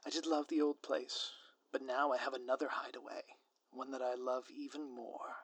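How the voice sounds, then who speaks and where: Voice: medium pitch, level tone, normal speed, husky, no echo, lightly acted, low-quality recording. A performer voicing a scheming, smug ex-supervillain spouse in a scripted audio roleplay, with a theatrical, sardonic, grandiloquent manner. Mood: gloating, menacing. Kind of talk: scripted audio roleplay monologue addressed to listener.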